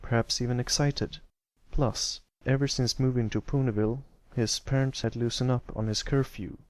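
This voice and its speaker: A man's voice: mid-range voice, steady tone, moderate pace, no echo. A man reading scripted story narration as an amateur audiobook narrator.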